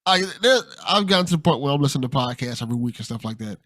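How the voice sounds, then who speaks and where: A man's voice: medium pitch, expressive, very fast, fairly bright tone, dry recording, studio quality. A man hosting a podcast in a casual, conversational style, encouraging and supportive toward his guest. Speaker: podcast host.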